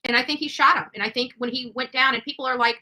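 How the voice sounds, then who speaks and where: A woman hosting a true-crime livestream, commenting over footage in a halting, emphatic way with repeated words. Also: high-pitched, fairly even intonation, pushed and bright. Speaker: livestream host.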